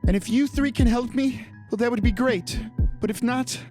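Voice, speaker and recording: A woman's voice: fairly high pitch, normal speed, natural voice. A woman playing a shy, earnest half-elf in a tabletop game, speaking hesitantly with stammers and repeated starts. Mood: earnest, worried. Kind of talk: improvised tabletop role-play. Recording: music behind the voice.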